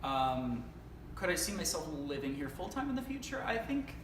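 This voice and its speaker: A young man from Canada, an actor, talking about his life and work in a casual interview, earnest and reflective, often pausing with "um" and restarting. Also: a little high, big pitch swings. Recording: echoey room with noise behind.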